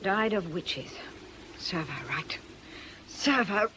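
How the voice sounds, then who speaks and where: A woman's voice: mid-range voice, lively intonation, takes it slowly, background noise. An actress playing a world-weary, sardonic woman in a radio drama, with a wry, theatrical manner. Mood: bitter, weary. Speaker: actor.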